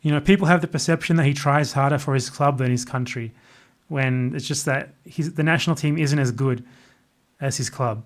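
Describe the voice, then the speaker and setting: A man's voice: mid-range voice, moderate pace. A man with an Australian accent chatting casually and off the cuff as a livestreamer, in a blunt, opinionated, sardonic manner. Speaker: livestreamer answering chat questions. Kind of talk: casual off-the-cuff political chat.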